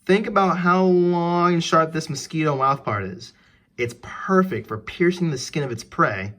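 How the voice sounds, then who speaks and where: A man's voice: mid-range voice, a bit bright. A man reading an educational text aloud for children as a teacher, putting questions directly to the listener.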